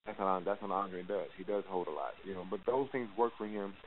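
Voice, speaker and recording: A man's voice: low-pitched, a little fast. A man, a boxing coach answering press questions with emphatic, repetitive phrasing. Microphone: phone call. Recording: hissy, narrowband phone conference line.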